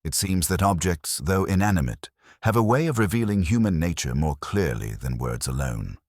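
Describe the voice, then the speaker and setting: A man voicing a calm, unassuming police inspector in a mystery audiobook, speaking politely, formally and deliberately. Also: deep voice, no echo, studio quality. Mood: calm, courteous. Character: courteous, unassuming male police inspector, calmly observant.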